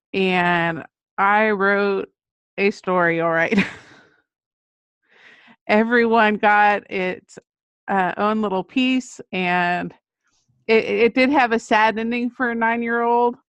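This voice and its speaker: A woman's voice: mid-range voice, fairly bright tone, clean tone, no echo, muffled recording. A middle-aged woman, an author appearing as a guest, telling anecdotes in a casual interview style. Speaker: children's book author, podcast guest.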